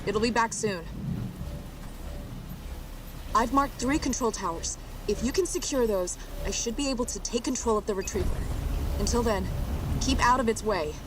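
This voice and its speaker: A woman's voice: a little fast, natural voice. An actress playing a composed, capable woman scientist advising soldiers in a military science fiction game. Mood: focused briefing. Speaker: scientist character, called Professor. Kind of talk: scripted military sci-fi game dialogue. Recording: loud music and battle sounds behind. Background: loud music, vehicle rumble.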